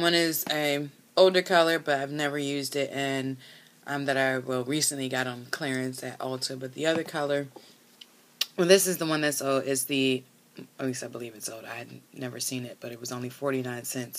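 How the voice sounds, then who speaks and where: Lady in her 30s chatting thru a nail polish review, deep-ish voice, um's everywhere, desk camera. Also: a bit bright. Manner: rambling, hesitant, many fillers and self-corrections. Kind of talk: casual product review while painting nails. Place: small indoor room.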